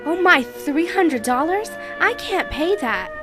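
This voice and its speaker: An actress playing a nervous, grateful small-town client in an old-time radio detective drama. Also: female voice, very high voice, big pitch swings, takes it slowly, over music, exaggerated voice. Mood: meek, apologetic.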